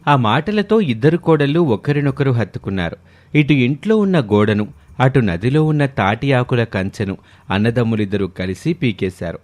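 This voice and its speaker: A man's voice: mid-range voice, animated, moderate pace, darkish tone. A man narrating a scripted moral story in the manner of a storyteller.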